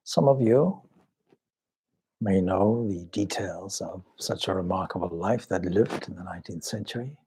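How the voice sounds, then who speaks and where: A man leading a guided meditation as a swami, speaking solemnly and measuredly in short phrases with long pauses. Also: sits low, warm tone, breathy edge. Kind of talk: spiritual talk and guided meditation.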